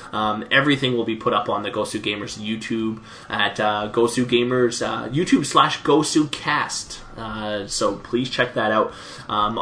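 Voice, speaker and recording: Young guy casting a strategy game on a headset, slightly hoarse, bright voice, bit of background hiss, lots of ums. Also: lowish voice. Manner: chatty, many fillers, laughs at plays. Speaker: esports caster. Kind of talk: solo play-by-play game commentary.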